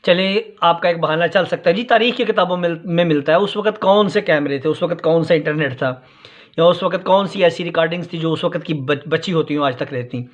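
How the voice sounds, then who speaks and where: High-pitched man, dull recording. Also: brisk. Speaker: vlogger commenting on a sermon clip. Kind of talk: unscripted critical commentary. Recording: dull, lo-fi sound.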